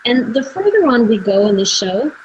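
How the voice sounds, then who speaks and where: A woman's voice: a little high, sing-song, moderate pace, lo-fi sound, a little hiss or hum. A woman hosting a live video chat, telling her own story earnestly with fillers and restarts. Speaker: host. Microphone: video call.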